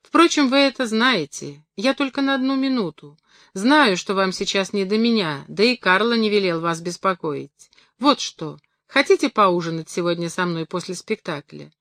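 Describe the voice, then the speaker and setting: A woman voicing an earnest, awkward gentleman admirer in an audiobook, courteous and somewhat solemn. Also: mid-range voice, lively intonation, moderate pace, no room sound, clean studio sound. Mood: courteous, eager.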